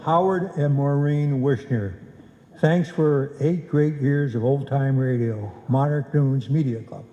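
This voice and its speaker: A man's voice: mid-range voice, warm tone, highs cut off. Older man stammering thanks in an echoey hall. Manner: halting, stammering repeats. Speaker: honoree from an amateur radio drama club. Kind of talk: informal off-the-cuff thanks. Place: live event in a hall. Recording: echoey hall, faint room noise.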